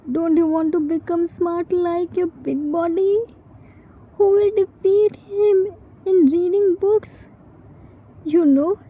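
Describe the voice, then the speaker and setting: A woman plays a grieving young man in a fan-fiction drama. The voice is extremely high and very muted in tone. Lines come slowly, in halting, scolding and pleading phrases. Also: mellow, poor audio.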